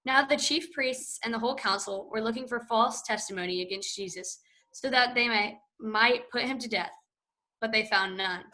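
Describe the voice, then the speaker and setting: A woman narrates scripture in a church, reading steadily and with care. Her voice sits fairly high and sounds bright and clear. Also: normal speed.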